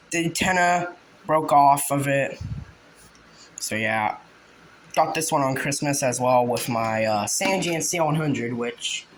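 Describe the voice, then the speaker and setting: A man giving a casual, halting show-and-tell, informal and often trailing off. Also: mid-range voice. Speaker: hobbyist video creator. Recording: noisy home video with clicks.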